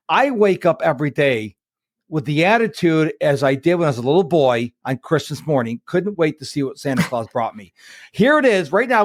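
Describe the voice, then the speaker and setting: A man's voice: fairly high pitch, no echo, clean studio sound. A man, hosting a podcast interview in an enthusiastic, motivational way. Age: 50s. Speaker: podcast host. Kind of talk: casual interview conversation.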